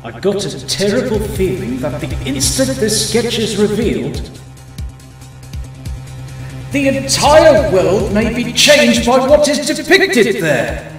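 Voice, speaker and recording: Guy doing a haughty young game prosecutor, formal and suspicious, high voice that swings a lot, music behind. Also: big pitch swings, exaggerated voice. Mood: uneasy. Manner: formal, deliberate, pointed. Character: stern, haughty young male courtroom prosecutor, suspicious and proud. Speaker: fan dub voice actor. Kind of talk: dramatic video game dub acting.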